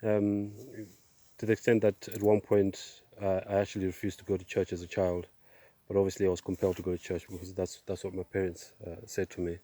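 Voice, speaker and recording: A middle-aged man giving a personal testimony outdoors, speaking in a halting, measured way with pauses. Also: low voice, little pitch movement, dark tone. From Zimbabwe, born in Zambia. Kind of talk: personal testimony, casual monologue. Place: outdoors, on a walk.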